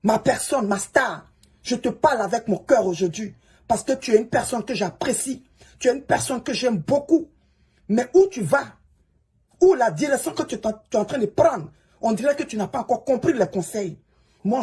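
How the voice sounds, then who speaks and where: Woman, English speaker, very husky voice, slightly high, pleading, preachy advice. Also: lively intonation, moderate pace, warm tone. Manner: pleading and preachy, repeats the listener's name. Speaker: social media coach. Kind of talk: advice monologue to a fellow creator.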